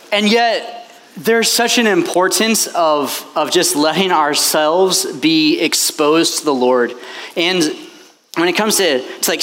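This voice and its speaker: A man's voice: sits high, bright, forceful tone. A man preaching as a priest to a retreat crowd, impassioned and chatty, with many fillers. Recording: long hall reverb, faint background noise.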